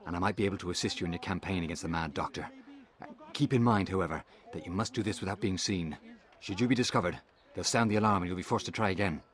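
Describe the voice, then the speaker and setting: A man's voice: low voice, fast, close to an everyday voice. A man voicing a businesslike, conspiratorial informant who hands out covert tasks in a video game.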